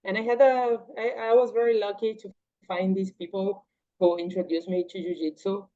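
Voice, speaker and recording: A young woman from Paraguay whose first language is Portuguese, chatting casually as a podcast guest with a relaxed, talkative manner. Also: medium pitch, moderate pace. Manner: relaxed, chatty, with frequent "like" and laughs. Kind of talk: casual interview chat. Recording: slightly dull, lo-fi audio.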